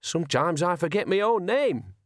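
A man doing a high, wheezy, babbling cart driver. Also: very animated, normal speed, dry recording, studio quality. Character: nervous shifty male cart driver, babbling.

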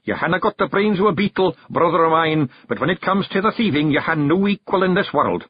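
A man's voice: animated, fairly quick, no echo, highs cut off. A man doing a fierce little fairy chief with a Scottish brogue. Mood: bossy. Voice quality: gruff high brogue.

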